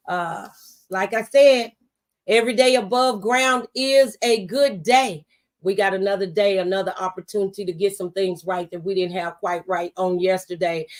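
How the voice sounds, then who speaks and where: A woman hosting a faith-based radio talk show, speaking in an encouraging, preacherly manner. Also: a little high.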